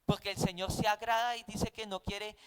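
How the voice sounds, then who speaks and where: Male Bible teacher, Colombian accent, high smooth voice, conversational preaching with rhetorical questions. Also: little pitch movement, normal speed.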